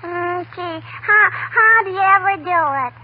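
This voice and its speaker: Squeaky, pestering little girl. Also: fairly even intonation. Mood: wheedling curiosity. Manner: drawn-out childish talk with repeated tag phrases. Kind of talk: 1940s radio comedy acting. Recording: tinny, noisy 1940 radio broadcast.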